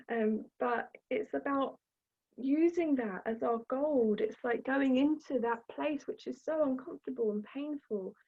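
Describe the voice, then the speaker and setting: A woman with a somewhat high, husky voice talks in a flowing, gentle way about the stars and the soul. The sound is thin and tinny, as if heard over a phone line. Small fillers run through her sentences as she goes. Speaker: astrology presenter. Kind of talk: spiritual talk.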